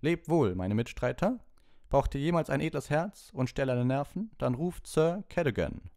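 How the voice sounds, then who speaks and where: A man's voice: medium pitch, animated, normal speed, mellow, dry recording, clean studio sound, pitched above the performer's own voice, natural voice. A man voicing a bombastic, gallant painted knight for an audiobook, proclaiming pompously in antique phrases. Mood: grand farewell. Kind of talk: audiobook character voice.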